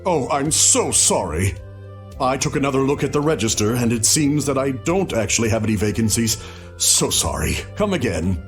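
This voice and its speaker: Animated, obliging innkeeper played by a man. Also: medium pitch, moderate pace, soft background music, lightly acted. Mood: insincerely apologetic. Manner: polite, salesmanlike. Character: chipper, obsequious male innkeeper. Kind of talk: English video game dub acting.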